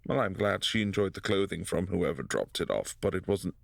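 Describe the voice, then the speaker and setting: A man playing a gravelly, brooding vampire prince. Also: very animated, a little fast, no echo, full character voice. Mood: secretive. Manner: low, hushed and intimate, slipping into whispers. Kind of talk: boyfriend ASMR roleplay.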